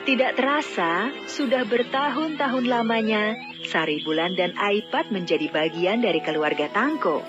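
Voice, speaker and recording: A woman's voice: medium pitch, expressive, moderate pace. A woman narrating a scripted fairy tale as a storyteller. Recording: dull lo-fi audio, music bed. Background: background music.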